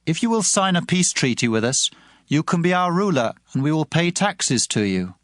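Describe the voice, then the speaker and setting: A man's voice: fairly high pitch, big pitch swings, moderate pace, a bit bright, no room sound, clean studio sound. An actor playing a submissive spokesman of a besieged town in an audio Bible drama. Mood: appeasing.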